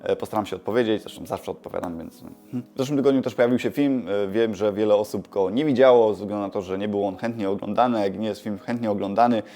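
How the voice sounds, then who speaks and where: A man's voice: on the low side, quick pace, warm tone. A man giving a casual, informal update as a video host, explaining things and correcting himself as he goes. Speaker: YouTube creator and host. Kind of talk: casual vlog update to camera.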